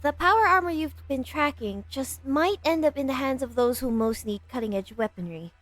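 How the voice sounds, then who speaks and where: A woman's voice: high-pitched, animated, normal speed, a bit bright, no echo. A woman playing a shrewd, wry, insistent doctor in an amateur game fan dub.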